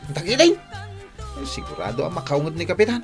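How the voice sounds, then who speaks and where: A nervous junior village watchman in a radio drama. His pitch swings widely as he talks, in a northern Philippine accent, with light music underneath. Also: wildly swooping pitch, quick pace, gravelly, exaggerated voice. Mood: anxious. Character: anxious village watchman, subordinate to his chief.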